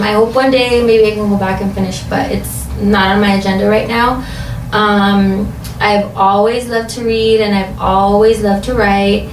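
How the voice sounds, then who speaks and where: A woman from Los Angeles, a guest in a casual interview at home, talking in a relaxed, hesitant way with many ums. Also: medium pitch. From Los Angeles, USA. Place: home craft room. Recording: roomy, distant, noisy home recording.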